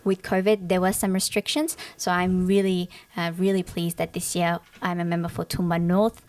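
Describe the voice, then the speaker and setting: A woman's voice: on the low side, level tone, normal speed, a little mellow. A teenage girl from Afghanistan whose first language is Dari, speaking as a podcast guest in an earnest, articulate way with frequent ums. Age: teens. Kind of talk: podcast interview.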